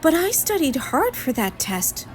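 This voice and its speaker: Woman voicing an earnest young schoolboy, lifted pitch. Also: wildly swooping pitch, measured pace, a little mellow, a little hiss or hum, heavily acted. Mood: upset. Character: earnest young schoolboy, polite and easily troubled. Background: music.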